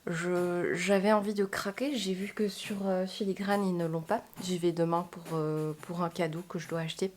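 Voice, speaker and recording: A woman's voice: fairly low pitch, fairly even intonation, moderate pace, few pauses, a little mellow, a little hiss or hum. A woman book vlogger from Belgium chatting casually to camera in a self-deprecating way.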